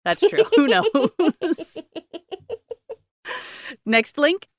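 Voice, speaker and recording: A woman's voice: sits high, very animated, extremely slow, no echo, studio quality. A woman co-hosting a podcast in casual chat, chatty and giggly, acting out what others say. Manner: chatty and giggly, acts out quotes. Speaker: podcast co-host.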